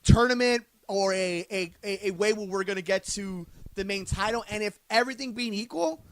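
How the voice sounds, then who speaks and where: A man's voice: sits high, moderate pace, a bit bright. A man co-hosting a casual sports podcast, insistently laying out his case point by point. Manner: insistent, lays out arguments point by point. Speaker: podcast co-host.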